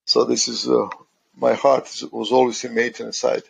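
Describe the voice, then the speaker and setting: A middle-aged man from Croatia with a heavily gravelly, creaky voice. He speaks at a middle pitch and answers interview questions haltingly, pausing often as he searches for words. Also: level tone, low-quality recording. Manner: halting, searching for words in non-native English. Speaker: guest, asset condition manager. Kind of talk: conversational podcast interview.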